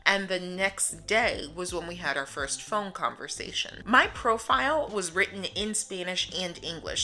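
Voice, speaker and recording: Bright-voiced woman telling a story. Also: on the low side, low-quality recording. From United States. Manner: earnest, animated, laughs often, voices her own quotes. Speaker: vlogger telling her story. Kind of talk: storytime narration.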